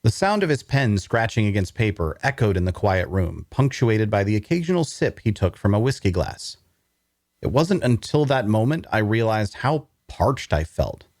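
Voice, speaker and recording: Casting: actor for tired, mistrustful injured male prisoner, deeper register, intimate dry delivery, first-person dramatic storytelling. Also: big pitch swings, normal speed, a little mellow, no echo. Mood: weary narration. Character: weary, wary wounded male prisoner narrating his story. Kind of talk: audio drama table read with first-person narration.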